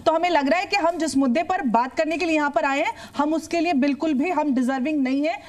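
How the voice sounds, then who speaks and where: High voiced woman on a debate panel, formal arguing, some english thrown in. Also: quick pace, darkish tone.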